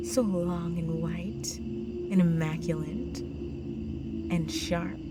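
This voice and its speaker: A woman voicing a seductive, possessive vampire countess in an intimate roleplay audio drama, slow and coaxing, often slipping into breathy whispers. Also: on the low side. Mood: darkly seductive. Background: music.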